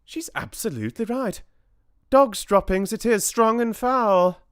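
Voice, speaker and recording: A storyteller voicing agitated female witches in shrill, outraged exclamations. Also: measured pace, fairly bright tone, clear, resonant tone, dry recording, studio quality, lightly acted. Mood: agreeing, disgusted.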